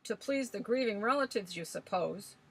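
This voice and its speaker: A woman reading aloud voices a cantankerous old rustic man who speaks loudly with scornful bluster and broken country grammar. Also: mid-range voice, normal speed, a little hiss or hum, lightly acted, poor audio. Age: older.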